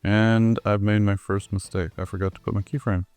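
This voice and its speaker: A man with a hoarse voice, recovering from the flu, explaining his animation work step by step with many ums and uhs. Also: lowish voice, little pitch movement, a little fast, darkish tone, dry recording. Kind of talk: screen-recorded tutorial walkthrough.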